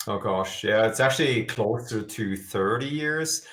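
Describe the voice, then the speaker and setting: Man from switzerland, low voice, middle-aged, enthusiastic guest, roomy echo. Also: unhurried, a bit bright. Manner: enthusiastic, explanatory, with frequent "uh" fillers. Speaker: guest, SEO expert. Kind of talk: interview answers.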